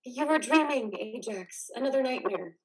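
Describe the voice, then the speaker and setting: A woman's voice: on the high side, lively intonation, moderate pace, slightly rough. A woman in a reader's theater drama playing a caring, worried wife, soothing and pleading.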